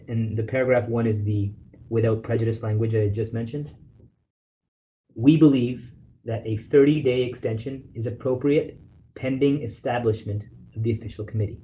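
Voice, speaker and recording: A man lawyer reading a formal legal letter aloud on a conference call, in a measured, formal way. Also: medium pitch, dark tone. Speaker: lawyer, representative counsel leading a call. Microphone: telephone conference call. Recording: dull conference phone call with noise.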